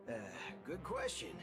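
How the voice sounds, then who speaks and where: An actor voicing a laid-back, gruff cyborg man in a game, speaking in a hesitant drawl with music behind him. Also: mid-range voice, exaggerated voice.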